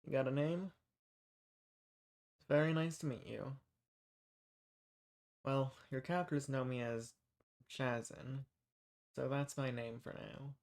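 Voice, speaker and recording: A man's voice: mid-range voice, fairly even intonation, slow, creaky, pauses often, a little mellow. Casting: male actor for a folksy rebel posing as a monster hunter, mid-range voice, audio roleplay. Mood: friendly, reassuring. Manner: folksy, reassuring. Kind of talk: scripted roleplay audio drama addressed to the listener.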